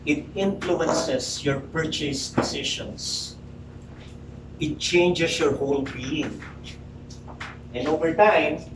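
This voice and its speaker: A man in his forties giving a prepared speech to a live audience, emphatic and rhetorical, talking straight to the crowd. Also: on the high side. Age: 40s. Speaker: public speaker. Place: meeting room with audience. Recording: roomy hall, mic at a distance, noisy.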